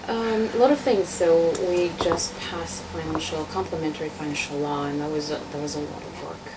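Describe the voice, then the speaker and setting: Woman, low pitch, hesitant interview answers, distant mic, noisy background. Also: steady tone, a little fast. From Tunisia, born in France. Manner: hesitant, many fillers and restarts, explaining. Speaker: constituent assembly member, guest.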